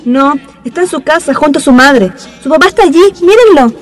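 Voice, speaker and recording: A woman's voice: high voice, expressive, fairly quick, in a large hall. A woman playing a matter-of-fact young townswoman gossiping with friends in a radio drama.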